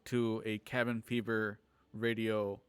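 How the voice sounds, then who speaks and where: Clear-voiced man hosting a casual livestream. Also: fairly low pitch, flat delivery, dry recording. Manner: halting, informal. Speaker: host and organizer of an online radio drama show. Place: at home on a multistream video call.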